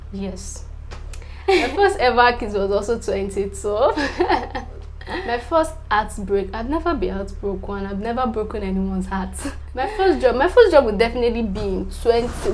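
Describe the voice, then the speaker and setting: Young Nigerian vlogger, a woman with Yoruba as her first language, in an easy middle register; loose, filler-heavy and quick to laugh. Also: mid-range voice, darkish tone, slight background noise. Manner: chatty, filler-heavy, repeats "definitely", laughs between answers. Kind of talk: casual chat to camera.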